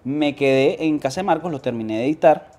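A man's voice: fairly high pitch, fairly quick, a little mellow. A young man from Venezuela with a Venezuelan accent, a guest telling slangy stories with many restarts, on a sofa with a lapel mic. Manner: slangy, storytelling with quoted voices, frequent restarts. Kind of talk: casual interview. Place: living room, on a sofa.

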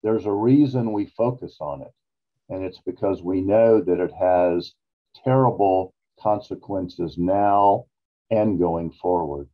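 A man in his sixties explaining things as an expert guest in a measured, emphatic way on a video call. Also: sits low. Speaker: psychologist interview guest. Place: small office, indoors. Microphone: video call computer microphone. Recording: dull, lo-fi video-call audio.

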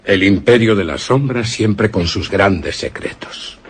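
An actor playing a curious, courteous, formal and wry castle visitor in a suspense radio drama. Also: male voice, fairly low pitch, animated, a little slow, a little hiss or hum.

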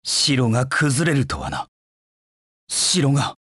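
An actor voicing a proud, cold dark knight in a video game, with curt, haughty, disdainful delivery. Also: male voice, medium pitch, big pitch swings, takes it slowly, husky, no echo, studio quality, exaggerated voice. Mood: cool, disdainful. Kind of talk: video game voice acting in short battle lines.

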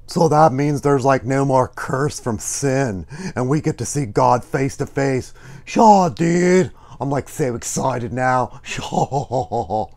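Man doing a mellow, enthusiastic dude puppet. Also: mid-range voice, takes it slowly, gravelly. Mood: excited. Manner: slangy, exclamatory, emphatic. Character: laid-back, enthusiastic dude puppet, youthful and casual. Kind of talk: children's Bible puppet skit.

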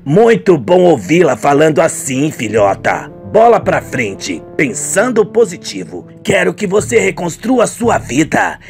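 A performer voicing a doting, encouraging middle-aged father in a radio soap, gentle and paternal. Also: mid-range voice, sing-song, a little slow, creaky, hardly any pauses, soft background music.

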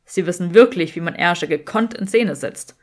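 Lady doing a sardonic young wizard guy, lowered voice, dry and snooty, audiobook style. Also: normal speed, natural voice. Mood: amused, snooty.

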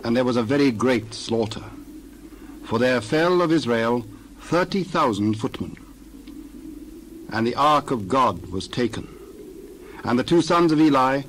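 A man reads scripture aloud at a measured, steady pace, voice in a middle register. There is a faint hum underneath. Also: medium pitch, rough recording. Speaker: scripture reader. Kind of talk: dramatized scripture reading.

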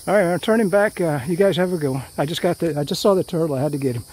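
A man's voice: on the high side, rapid-fire, a little mellow, slightly rough. A middle-aged man talks casually while hiking a forest trail, rambling with many fillers and restarts. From Florida. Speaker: hiking vlogger.

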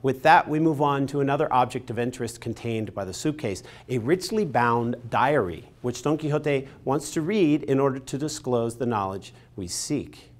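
Male lecturer in his forties, mid-pitched, expressive and emphatic, scripted studio video lesson on a lapel mic. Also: moderate pace, hardly any pauses. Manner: expressive, emphatic storytelling delivery. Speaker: literature lecturer. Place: studio in front of a painted backdrop.

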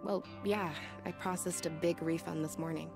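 A woman's voice: fairly low pitch, fairly even intonation, normal speed, creaky. An actress playing a nervous young woman shop clerk in a visual novel, hesitant and meek. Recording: faint music behind.

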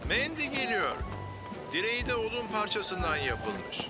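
Bright-pitched, reassuring cartoon hero fireman. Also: measured pace, full character voice. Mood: encouraging, calm. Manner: encouraging, steady, kindly. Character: calm, reassuring heroic male firefighter in children's cartoon. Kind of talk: animated children's cartoon dub. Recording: music under the dialogue.

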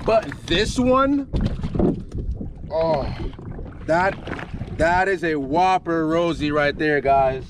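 A young man giving casual, excited fishing commentary in short, repeated exclamations, recorded outdoors on the water. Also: a little high, drawn-out words, pauses often. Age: young adult. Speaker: fishing vlogger. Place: outdoors on a jet ski at sea. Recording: noisy outdoor boat recording. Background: boat and open-water noise.

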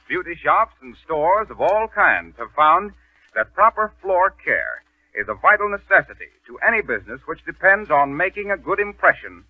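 A man's voice: on the high side, no room sound. A man announcing a 1930s radio advertisement in a polished, formal sales style. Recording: tinny, band-limited 1930s radio recording.

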